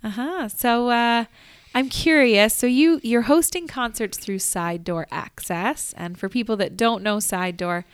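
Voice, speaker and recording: A woman's voice: on the high side, unhurried, clear, resonant tone, no room sound, clean studio sound. A woman hosting a casual live radio interview in a conversational, upbeat way. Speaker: radio show host. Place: church, live broadcast.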